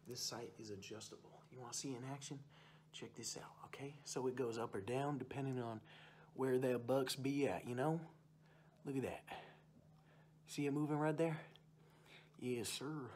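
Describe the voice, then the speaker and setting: A man's voice: medium pitch, normal speed, long pauses, a little mellow, slight background noise, pitched above the performer's own voice, natural voice. A young man playing a boastful, folksy uncle who rambles about hunting and fishing in an ASMR roleplay. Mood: boastful, enthusiastic. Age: young adult. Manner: folksy, rambling, repeats stock phrases. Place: small room indoors.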